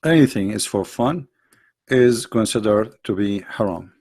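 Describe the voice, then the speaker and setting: A man's voice: fairly low pitch, animated, measured pace, a little mellow. A middle-aged man hosting a live stream, lecturing emphatically on religion in non-native English with frequent restarts and reading out viewer questions.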